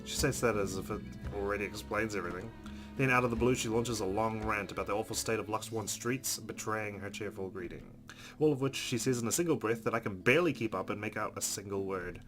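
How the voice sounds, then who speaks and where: A man's voice: on the low side, quick pace. A man hosting a let's play, reading game narration aloud and adding casual comments. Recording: game music behind the voice.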